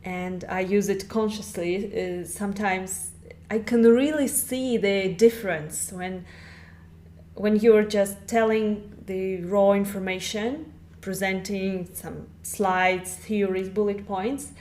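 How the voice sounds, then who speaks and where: A woman speaks English as a guest on a podcast. She explains things carefully and at a measured pace, in a voice of middle pitch. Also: steady tone, darkish tone. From Russia. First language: Russian. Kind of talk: podcast interview.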